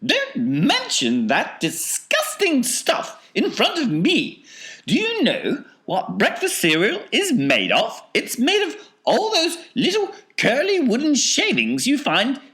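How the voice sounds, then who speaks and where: Nutty flamboyant storybook candy maker, super high and swoopy, all exclamations. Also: male voice, wildly swooping pitch, takes it slowly, pushed and bright, heavily acted. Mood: indignant, flabbergasted. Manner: theatrical, exclamatory. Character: eccentric, excitable, flamboyant male chocolate maker. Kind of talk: storybook character reading.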